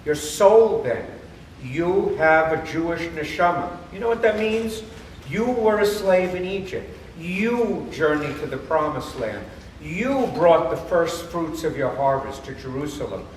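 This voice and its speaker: Male actor as persuasive cantor, stage play, declamatory pauses, roomy echo, faint noise. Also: on the high side, lively intonation. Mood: earnest, persuasive. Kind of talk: live theater comedy acting. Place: theater or temple hall, far microphone. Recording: echoey hall from far off, noisy.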